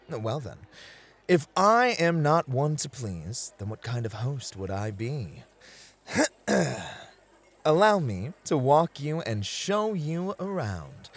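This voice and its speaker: A man playing a suave, playful club host in a flirty ASMR roleplay, with smooth, teasing, theatrical hospitality. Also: a little high, sing-song, takes it slowly, full character voice. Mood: courteous, showy. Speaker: club host showing a guest around.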